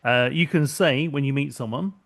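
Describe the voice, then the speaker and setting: A middle-aged man from London speaks with a British accent as he leads an online lesson. He talks steadily and patiently, often pausing with an um. He sounds like he is speaking into a computer microphone on a video call. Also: mid-range voice, creaky. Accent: British English, London. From London, England. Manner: steady, patient teaching with frequent ums. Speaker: business English teacher.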